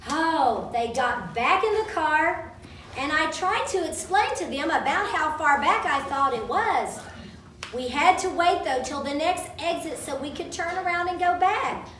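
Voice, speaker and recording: A woman reads a story aloud to children in a dramatic style, her voice high and unhurried. She is some distance from the microphone in an echoing room at a live event. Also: lively intonation, a bit bright. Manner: dramatic, acts out quoted speech. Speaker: storyteller, author reading own story. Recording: roomy, distant microphone, faint background noise.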